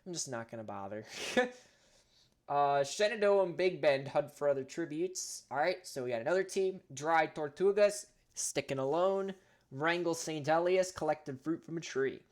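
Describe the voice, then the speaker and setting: A man's voice: fairly high pitch, animated, moderate pace. A man with a northern US accent, casually reading out simulated game events with offhand jokes and stumbles over names. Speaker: gaming channel host.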